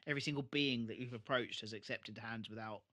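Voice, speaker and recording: A man's voice: medium pitch, fast, bright, forceful tone. A man co-hosting a podcast, chatting casually as he reviews a show. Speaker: podcast co-host. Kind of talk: casual chat, TV episode review.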